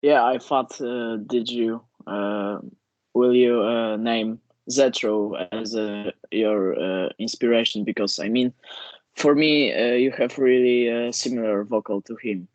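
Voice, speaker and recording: Slow, halting Polish-accented male interviewer. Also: medium pitch, steady tone, dry recording, muffled recording. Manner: halting, non-native grammar. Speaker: interviewer, metal fan channel host. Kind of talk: interview questions.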